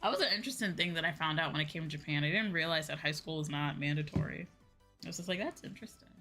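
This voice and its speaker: A woman streamer chatting casually and answering viewers in an animated, conversational way, often repeating phrases for emphasis. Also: low-pitched, very fast. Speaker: VTuber streamer; high school teacher. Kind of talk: casual livestream chat, replying to viewers. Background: background music.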